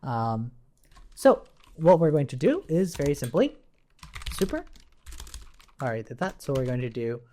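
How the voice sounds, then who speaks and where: A man teaches programming in an informal, think-aloud way. His pitch moves around in an animated fashion as he explains, and he restates key points for emphasis. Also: normal speed, long pauses, slight background noise. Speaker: programming tutor. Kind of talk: casual coding tutorial, thinking aloud while typing.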